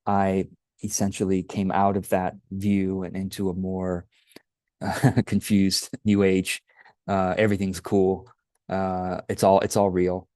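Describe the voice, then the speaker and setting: A middle-aged man from Taiwan hosting his own channel, reflecting aloud in a halting, thoughtful way with many restarts. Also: deep voice, mellow, no echo. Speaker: channel host. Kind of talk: reflective personal monologue.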